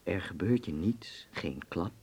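Een acteur speelt een wereldwijze, plagerige en bazige vriend in een hoorspel, met veel nadruk. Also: male voice, low voice, expressive, measured pace, a little mellow, husky, heavily acted. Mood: teasing, coaxing. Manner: emphatic, teasing, commanding. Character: worldly, teasing, bossy Dutch friend in 1930s Berlin. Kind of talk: radio drama acting.

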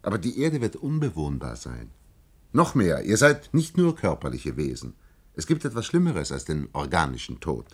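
Husky, proud alien researcher. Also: male voice, a bass voice, huge pitch swings, heavily acted. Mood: grave, earnest. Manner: earnest, pompous, deliberate with emphatic phrases. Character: proud, vain alien researcher posing as a human salesman. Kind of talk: German science-fiction radio play acting.

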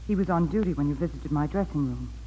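A woman playing a wry, encouraging, warm-hearted nightclub performer in a 1940s radio drama. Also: low voice, quick pace, lightly acted. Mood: steady, helpful. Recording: dull, noisy 1940s radio recording.

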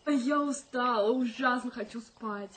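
High-voiced breezy young woman, played by an actress. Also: moderate pace, natural voice. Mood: sleepy, easygoing. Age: young adult. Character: breezy young expectant mother, dreamy, sleepy, practical. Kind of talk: radio play acting.

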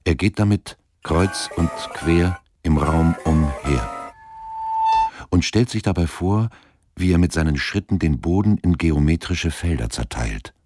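A man's voice: low voice, lively intonation, a little slow, over music. A man narrating a radio drama as a sober, detached storyteller, reading from a script.

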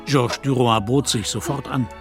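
A male narrator tells a literary story for an audio drama. His voice sits at a medium pitch and his delivery is measured and articulate. Music plays clearly behind him. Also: fairly even intonation.

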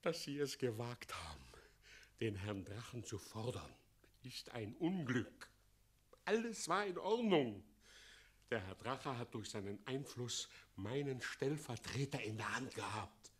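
An actor in a radio play playing a nervous, fretful town mayor with a fussy, overwrought, formal manner. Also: male voice, mid-range voice, animated, measured pace, natural voice. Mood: anguished complaining. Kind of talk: German radio play acting.

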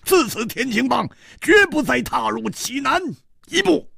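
A man's voice: very high-pitched, sing-song, unhurried, creaky, fairly bright tone, breathy edge, no room sound, studio quality, pitched above the performer's own voice, heavily acted. A man voicing a hot-tempered, desperate martial-world gang fighter in a storybook, with strained, sputtering outbursts. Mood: anguished, defeated.

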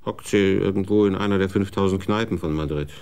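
Tired, sarcastic tv exec in a radio play, low voice, blunt. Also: male voice, fairly quick, gravelly, darkish tone, clean tone. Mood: sardonic. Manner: blunt, sardonic. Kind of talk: radio drama acting.

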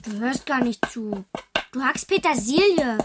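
Female actor doing little girl in a radio play, high voice, pushy and plaintive, really noisy recording. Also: expressive, unhurried. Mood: plaintive, insistent. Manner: plaintive, insistent. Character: earnest, insistent little girl talking to her mother.

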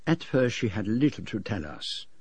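An actor playing a fastidious Belgian detective with a French-speaking accent, precise and formal in a radio drama. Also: male voice, fairly low pitch, big pitch swings, husky, heavily acted. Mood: measured narrating.

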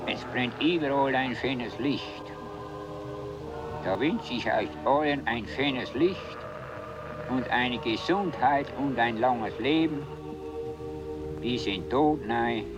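A man's voice: mid-range voice, slow, pauses often, highs cut off. A man speaking informally, offering good wishes. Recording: echoey room, loud music behind.

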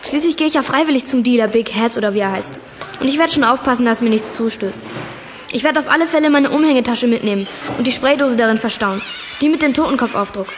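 A woman's voice: on the high side, fast, a little hiss or hum, natural voice. An actress playing a plucky, pert teenage schoolgirl detective in a youth radio play, self-assured in manner. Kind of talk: 1980s German youth radio play acting.

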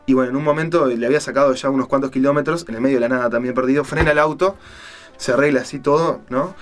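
A man with a Rioplatense accent talks as a radio host in a casual storytelling way. His delivery is halting and hesitant, as he feels for the next word at a middling pitch. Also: faint music behind. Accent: Rioplatense Spanish. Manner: hesitant, halting, searching for words.

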